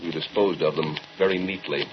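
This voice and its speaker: An actor playing a grave, accusing moral questioner in an old-time radio drama, with deliberate, probing delivery. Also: male voice, a bass voice, fairly even intonation. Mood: cool, probing. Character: grave, accusing man challenging a scientist, relentless moral questioner. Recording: muffled, very noisy old radio recording.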